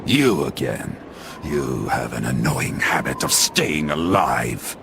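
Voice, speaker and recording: An actor playing an arrogant, enraged usurper emperor in a video game, theatrical and scornful, with a gravelly voice. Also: male voice, a bass voice, very animated, takes it slowly, background noise, exaggerated voice. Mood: scornful menace. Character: villainous usurper emperor, arrogant, defiant, full of rage. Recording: dramatic music behind the voice.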